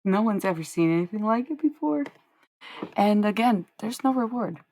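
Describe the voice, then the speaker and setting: A woman's voice: mid-range voice, expressive, moderate pace, warm tone, a little hiss or hum, rough recording. A young woman livestreaming, chatting with viewers in a rambling, dreamy way and swearing freely. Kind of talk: casual livestream chat with viewers.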